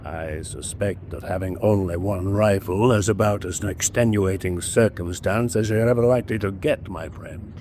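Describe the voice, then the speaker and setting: An actor playing a coolly persuasive, ruthless adviser aboard a U-boat in a radio drama, formal and insinuating. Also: male voice, deep voice, normal speed, hardly any pauses, darkish tone, a little hiss or hum, lightly acted. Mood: ruthless, insistent.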